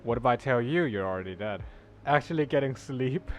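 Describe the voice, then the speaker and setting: A man streams a video game in a middle-pitched voice. He chats casually, jokes and swears mildly in slang, with faint music underneath. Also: lively intonation, brisk. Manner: joking, slangy, mild swearing. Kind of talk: casual livestream commentary, reacting and chatting. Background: soft background music.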